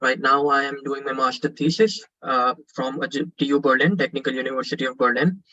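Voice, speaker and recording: A man's voice: mid-range voice, steady tone, brisk, pushed and bright, muffled recording. A man in his twenties from Pakistan, asking an earnest, polite question on a webinar call with frequent fillers.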